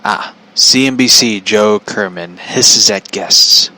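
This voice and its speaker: A man's voice: fairly low pitch, slow, bright, forceful tone, poor audio. A man telling stories in a casual, rambling, foul-mouthed way as a podcast host, incredulous and repeating himself for emphasis.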